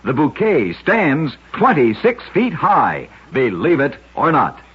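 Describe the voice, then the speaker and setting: A man's voice: a little high, big pitch swings, slow, lo-fi sound. A man narrating short scripted oddity stories as a radio host, in a dramatic, emphatic 1940s storytelling style, on an old radio recording.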